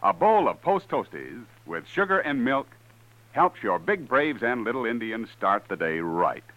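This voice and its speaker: A man's voice: mid-range voice, very animated, a little slow, a bit bright. A man announcing in 1950s radio advertising style, emphatic and salesman-like. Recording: dull, dry vintage radio recording.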